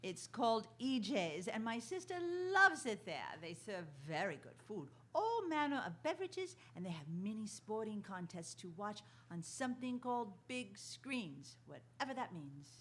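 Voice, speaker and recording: A woman's voice: medium pitch, very animated, normal speed, hardly any pauses, a bit bright, husky. A woman playing a helpful, chatty London housekeeper in a radio drama, polite and deferential. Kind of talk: theatrical radio drama acting.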